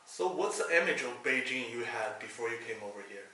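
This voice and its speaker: Guy doing interviews, bright voice, kinda halting and informal, far from mic in echoey room. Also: medium pitch, lively intonation. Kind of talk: interview questions to camera. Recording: echoey room, distant mic, faint noise.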